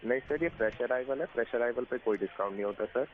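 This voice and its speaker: A man's voice: medium pitch, fast talker. An Indian man working as a customer service agent, speaking politely, patiently and deferentially on a call. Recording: thin phone line, clear static and clicks.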